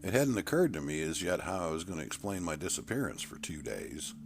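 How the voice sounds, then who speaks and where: A man's voice: deep voice, sing-song, fairly quick. A man narrating a first-person short story. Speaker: author-narrator. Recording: clear background noise, ambience.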